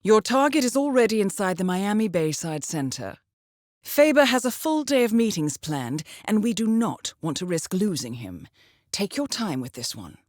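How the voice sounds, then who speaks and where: A woman's voice: medium pitch, big pitch swings, moderate pace, a little mellow, no echo, natural voice. A woman playing a composed intelligence handler in a video game, briefing an assassin formally and with dry wit. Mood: cool, businesslike. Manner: composed, formal, dryly witty. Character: poised female intelligence handler briefing an assassin, cool and wry. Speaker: mission handler.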